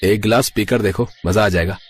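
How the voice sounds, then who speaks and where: A man playing a simple, good-natured young village-bred husband in a cartoon story, with a husky voice and patient, teasing manner. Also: on the low side, fairly quick, slight background noise, lightly acted.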